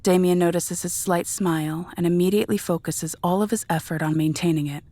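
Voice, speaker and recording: A woman narrates a dark audio drama from a script. Her voice sits low and has a husky, breathy texture. She describes each scene in a measured storytelling style. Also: steady tone, no room sound.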